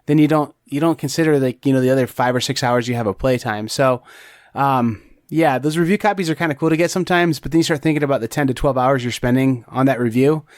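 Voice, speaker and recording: Man, mid pitch, casual rambling podcast talk, dry close recording. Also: quick pace, dry recording. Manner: rambling, many restarts and fillers. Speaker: podcast co-host. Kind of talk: casual chat.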